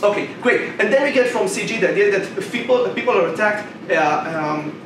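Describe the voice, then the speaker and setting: Halting young Israeli man debating, far off. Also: high-pitched, lively intonation. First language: Hebrew. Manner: argumentative, halting with many restarts. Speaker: competitive debater. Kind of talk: debate speech. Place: debate room. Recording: roomy echo, far from mic, noisy.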